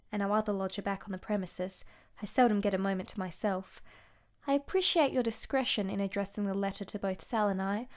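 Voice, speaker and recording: A woman's voice: mid-range voice, darkish tone, lightly acted. Actress as a tender, yearning married lady. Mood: wistful, restrained. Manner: formal, tender letter reading. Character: Clara, tender, yearning married young mother. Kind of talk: dramatic monologue in radio play.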